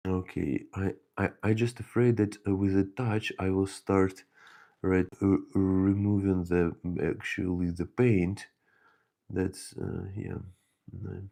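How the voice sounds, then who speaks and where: A deep, gravelly man with a Ukrainian accent talks slowly and softly as he paints, thinking aloud in a halting way. His mellow voice drifts through a relaxed hobby stream. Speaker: miniature painting channel host. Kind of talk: casual live painting chat.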